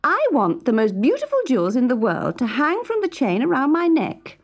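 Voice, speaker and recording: A woman voicing a spoiled, demanding young princess in a storybook, with petulant, breathy exclamations. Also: sits high, huge pitch swings, moderate pace, voice pushed up, exaggerated voice. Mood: cross, demanding.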